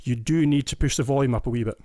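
A man's voice: medium pitch, quick pace, dark tone, no echo, clean studio sound. A Scottish man talking eagerly and at length as a guest in a casual podcast chat, often restarting his sentences. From Scotland. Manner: enthusiastic, explains in detail with frequent restarts. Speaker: guest listener.

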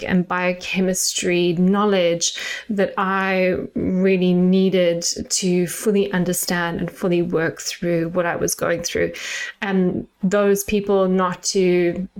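A middle-aged woman from South Africa with a South African accent, hosting her own podcast in a measured, earnest, explanatory way. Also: mid-range voice. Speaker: podcast host and nutritional therapist. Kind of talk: scripted solo podcast monologue.

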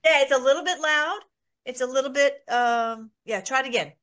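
A woman's voice: high voice, normal speed, fairly bright tone. A woman hosting an informal online Bible study, talking in a rambling way with many restarts and fillers.

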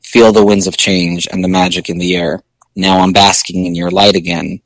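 Low-voiced man talking loosely. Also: flat delivery, moderate pace, dry recording, low-quality recording. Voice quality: low speaking voice. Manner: loose and conversational, many fillers and restarts. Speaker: singer being interviewed. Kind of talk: casual interview chat.

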